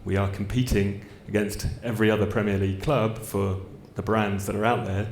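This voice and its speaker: A man's voice: fairly low pitch, little pitch movement, brisk, reverberant, a little hiss or hum. A man answering on a panel at a fans' forum, hesitant and full of fillers. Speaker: commercial director on a panel.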